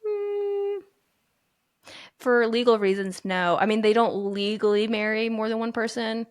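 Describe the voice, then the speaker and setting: A woman's voice: on the high side, expressive, measured pace, no room sound, studio quality. A woman co-hosting a podcast, chatting casually in a candid, earnest and musing way. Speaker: podcast co-host. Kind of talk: casual chat.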